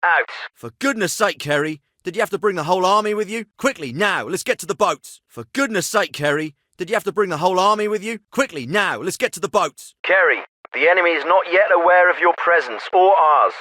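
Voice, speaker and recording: Casting: stern, sardonic special forces captain for a military game; higher-set, bright forceful voice, clipped orders, some thin radio-filtered audio. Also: male voice, lively intonation, normal speed, no echo. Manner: clipped, authoritative, dry sarcasm. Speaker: military squad commander. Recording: radio-filtered, band-limited clips with faint static.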